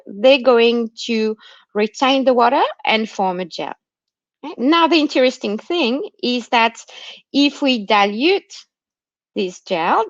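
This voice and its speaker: A woman with a fairly high voice and a non-Australian accent presents research in an explanatory, academic way. She often checks that listeners follow with short tags. The sound is dry and somewhat dull, like an online call. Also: no echo. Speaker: university researcher giving a talk. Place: remote online talk during lockdown. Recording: muffled lo-fi online call audio.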